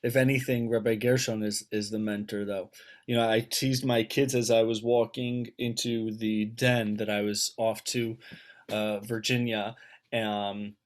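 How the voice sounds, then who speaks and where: A man's voice: lowish voice, little pitch movement, a little slow. An American man, around his thirties, gives an informal, personal talk over a video call. He speaks as a rabbi and author, feeling his way through sentences and often doubling back to repeat or restart a phrase. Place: indoors at home on a video call.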